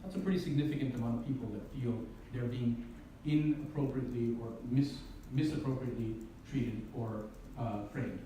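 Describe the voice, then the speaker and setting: A man from Canada gives a public lecture in a mellow, darker voice. He poses rhetorical questions and circles back over his phrases. His voice reaches the distant microphone through a very echoey hall, with faint hiss behind it. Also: medium pitch, normal speed. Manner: earnest, repeats and restarts phrases, asks rhetorical questions.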